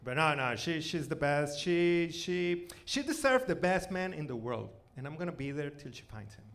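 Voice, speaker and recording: A forty-year-old man with a Venezuelan accent and native Spanish tells stand-up stories conversationally, haltingly repeating his words. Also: on the high side, creaky, a bit bright. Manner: halting, repeats words, punctuated with "you know" and "like". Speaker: stand-up comedian. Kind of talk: stand-up comedy, conversational storytelling. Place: comedy club stage, live performance. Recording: noisy live club recording.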